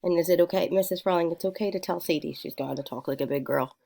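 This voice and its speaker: A woman's voice: fairly low pitch, fast talker, gravelly, mellow. A woman talking casually at home as a mother, coaching a young child through reading with encouraging, short prompting questions.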